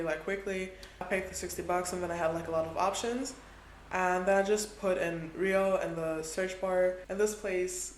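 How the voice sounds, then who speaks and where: Young male travel vlogger, high and fairly flat delivery, echoey room, faint background hum. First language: Portuguese is his second language. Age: 20s. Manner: chatty, heavy use of "like". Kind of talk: casual travel vlog.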